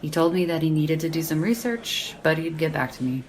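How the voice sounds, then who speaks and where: An actress playing a sardonic, deadpan young woman in casual audio drama banter, with a gravelly creak and a dry, teasing delivery. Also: sits low, a little fast, poor audio. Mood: dry, matter-of-fact. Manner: dry, teasing, slangy, unimpressed. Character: deadpan, sardonic young woman who loves horror paperbacks.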